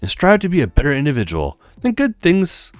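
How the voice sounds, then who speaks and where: Man voicing a breezy young handywoman, swooping pitch. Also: huge pitch swings, fairly quick, smooth, no echo. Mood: reassuring. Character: friendly, frank young female handywoman. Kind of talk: acted visual novel read-aloud.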